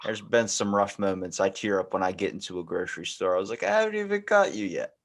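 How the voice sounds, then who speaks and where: Low-voiced man, joking podcast host. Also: expressive, quick pace. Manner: joking, rambling, slangy. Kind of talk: casual comedic chat.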